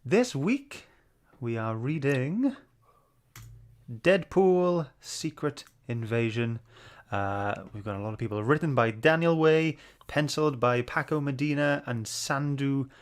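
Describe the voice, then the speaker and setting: A man's voice: mid-range voice, lively intonation, slow. A man hosting a book club livestream, chatting informally and greeting viewers. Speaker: host.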